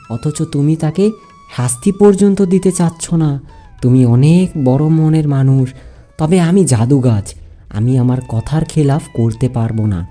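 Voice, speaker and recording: A man's voice: mid-range voice, dark tone, natural voice. A man voicing a stern but kindly magic tree spirit in a cartoon fairy tale, speaking solemnly and repeating phrases.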